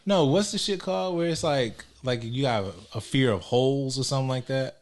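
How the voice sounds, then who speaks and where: A laid-back man from Maryland chats as a podcast co-host. His pitch is mid-range, and he often drops into low, mumbled asides. His tone is casual and unhurried. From Maryland, United States. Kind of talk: casual chat.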